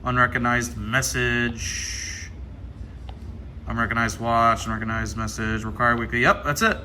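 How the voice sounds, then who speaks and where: A man's voice: mid-range voice, level tone, unhurried, pushed and bright. An American man giving casual hands-on unboxing commentary, thinking aloud as he mutters through menu items. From Ohio, United States. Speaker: radio hobbyist presenting an unboxing. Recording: clear background noise.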